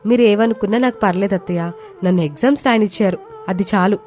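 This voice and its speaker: A woman's voice: mid-range voice, quick pace, darkish tone, soft background music, natural voice. A woman voicing a young, dutiful daughter-in-law, polite and earnest, in a cartoon moral story. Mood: grateful.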